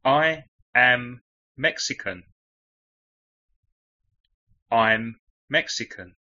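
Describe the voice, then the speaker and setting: A man from England with a London accent, teaching English with slow, deliberate phrases for learners to repeat. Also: mid-range voice, pushed and bright. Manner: clear, deliberate model phrasing for repetition. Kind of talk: slow language-lesson drills. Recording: dull lo-fi audio.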